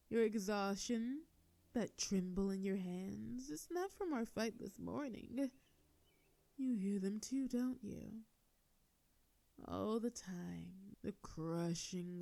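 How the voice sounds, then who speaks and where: A woman's voice: medium pitch, vocal fry, mellow. A woman playing a sardonic telepathic supervillain in a scripted audio drama, with a theatrical, teasing way of drawing out her words. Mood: dawning sympathy. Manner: theatrical, taunting, drawn-out words. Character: sardonic female telepathic supervillain, menacing yet softening.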